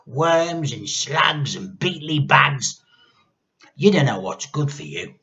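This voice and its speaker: A man voicing a nasty, gleefully menacing old grandmother in a storybook, with drawn-out, gloating delivery. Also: on the high side, animated, bright, forceful tone, muffled recording, voice pushed up, heavily acted. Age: older. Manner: drawn-out, gloating, sometimes throaty whispering.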